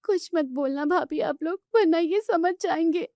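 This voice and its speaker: Woman voicing a high-pitched, sobbing young bride. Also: normal speed, darkish tone, no echo, clean studio sound. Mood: anxious, pleading. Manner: plaintive, sobbing delivery. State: crying. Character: tearful young bride, hurt and timid sister-in-law.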